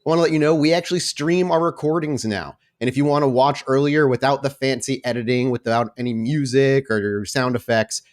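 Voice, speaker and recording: A man's voice: medium pitch, a little fast, few pauses. A man speaking as a podcast host and game storyteller, chatty and informal. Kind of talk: podcast announcements and game narration.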